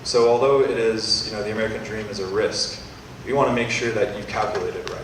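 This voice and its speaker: A man's voice: lowish voice, fairly even intonation, brisk, slight background noise. Rambling young man in echoey hall. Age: young adult. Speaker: student startup presenter. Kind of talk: pitch Q&A answer. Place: university event hall. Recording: echoey hall, distant mic.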